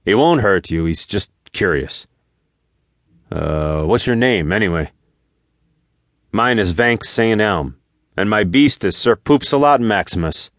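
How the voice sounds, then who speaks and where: A man's voice: fairly low pitch, expressive, unhurried, pauses often, dry recording, clean studio sound. A man voicing an earnest, plain-spoken, determined hero in a fantasy audiobook, with informal phrasing. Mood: friendly, apologetic. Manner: earnest, informal, hesitant at times. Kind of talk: audiobook character voice.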